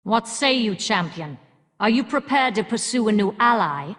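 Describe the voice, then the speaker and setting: A woman's voice: mid-range voice, lively intonation, normal speed, a bit bright. An actress playing a haughty, commanding female leader in a video game, heard with a long reverberant effect. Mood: imperious. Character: haughty, commanding woman leader addressing a champion.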